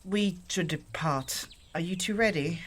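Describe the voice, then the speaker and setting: An actor playing a dignified exiled shifter who speaks in formal, measured, old-fashioned phrases. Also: male voice, high voice, fairly bright tone. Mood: solemn.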